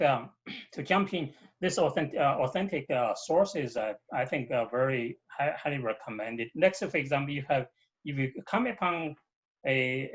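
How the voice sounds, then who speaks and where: A man language teacher on a video call panel who speaks haltingly, with many uhs and restarts. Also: medium pitch, highs cut off. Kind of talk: webinar panel discussion.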